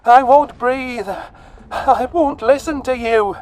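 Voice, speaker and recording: A man's voice: very high voice, slow pace, a little mellow, faint music behind, voice pushed up. A man voicing a panicky alien soldier, desperate and overcome with grief, in an audio drama. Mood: despairing. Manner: blubbering, screeching, desperate. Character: anguished, panicky male alien soldier grieving his human friend.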